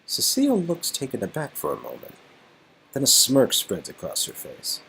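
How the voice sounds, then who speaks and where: A man's voice: medium pitch, moderate pace, gravelly, slight background noise, low-quality recording. A man doing a dramatic reading of game dialogue, mixed with rambling, joking casual banter. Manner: animated, improvising, rambling when joking. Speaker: reader performing an interactive game's dialogue.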